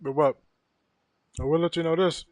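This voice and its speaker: A young man in casual gaming voice chat, explaining things in measured phrases. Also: fairly high pitch, steady tone, darkish tone, no room sound. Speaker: gamer chatting with streamer.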